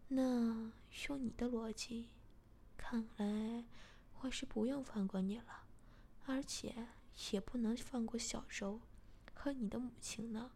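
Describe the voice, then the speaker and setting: A woman voicing a calm, domineering young man in a whispered storybook reading. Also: on the high side. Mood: cold, controlling. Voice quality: whispered, measured.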